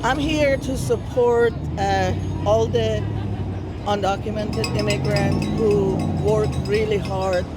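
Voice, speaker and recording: A man's voice: high-pitched, fairly even intonation, over loud music. A man, a nurse interviewed outdoors at a rally, speaking sincerely and steadily. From Iran. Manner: sincere, measured, with small grammar slips. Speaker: protester, registered nurse. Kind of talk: street interview. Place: outdoors on a city street.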